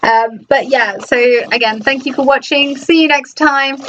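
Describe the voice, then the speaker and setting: A woman's voice: high voice, normal speed, a bit bright, slight background noise. A young woman, chatting casually in a home vlog, hesitant and full of restarts. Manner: hesitant, lots of restarts and ums. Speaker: cosplayer and vlogger. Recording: slightly dull lo-fi sound.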